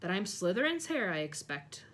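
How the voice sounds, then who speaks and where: A woman reading a curious schoolboy in a plain, quick manner. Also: medium pitch, big pitch swings, a bit bright.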